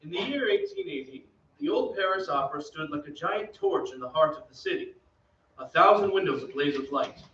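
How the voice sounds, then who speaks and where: A man playing an opera singer who solemnly narrates a dark tale in old-time radio drama style. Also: high voice, animated, fairly quick. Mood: solemn, ominous. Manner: dramatic, literary storytelling. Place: theater stage in front of an audience. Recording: roomy stage, distant mic, muffled, faint noise.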